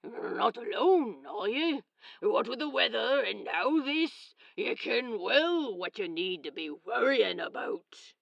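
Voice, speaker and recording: A gruff old man voiced by a woman in an audiobook, gravelly and forceful. He is brusque and suspicious, drawing words out with big swings in pitch. The sound is a little dull. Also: big pitch swings, takes it slowly, no room sound, exaggerated voice. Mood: suspicious, lecturing. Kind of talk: fan fiction audiobook character voice.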